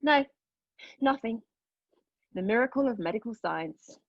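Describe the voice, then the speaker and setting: Actress as a husky, intense young woman confessing. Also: a little high, animated, measured pace, no echo, dull audio. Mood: reflective, uneasy. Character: haunted young woman recounting a painful memory. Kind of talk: dramatic monologue read at a table read of a play.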